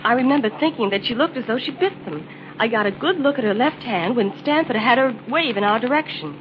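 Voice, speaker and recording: An actress playing an eager, sharp-eyed female assistant in an old-time radio drama. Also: fairly high pitch, lively intonation, a little fast, lightly acted. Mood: agitated, insistent. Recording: muffled, noisy old radio recording.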